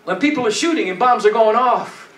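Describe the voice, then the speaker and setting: High-pitched, exhorting male preacher in echoey church. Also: a little fast, a bit bright. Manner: exhorting, punctuated with "amen?"; colloquial, repetitive phrasing for emphasis. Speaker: pastor preaching. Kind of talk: sermon. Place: church stage, indoors. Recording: echoey church, distant camera pickup.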